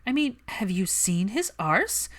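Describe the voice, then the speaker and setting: Audiobook witch, played by a woman: young, preening, huffy and sharp-tongued, very animated delivery. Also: medium pitch, a little slow, voice pushed up. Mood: cheeky, smitten. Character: haughty, dramatic, sharp-tongued young witch, teasing friend. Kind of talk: acted fan fiction audiobook dialogue.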